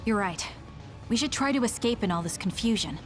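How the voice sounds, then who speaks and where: A woman's voice: a little high, lively intonation, brisk, faint music behind, natural voice. Woman acting a determined young anime fighter. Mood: resolute. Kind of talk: anime game English dub acting.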